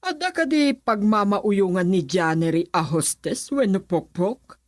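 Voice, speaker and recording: An actress playing a stern, demanding middle-aged aunt in a radio drama. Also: female voice, mid-range voice, expressive, measured pace, no echo, studio quality, close to an everyday voice.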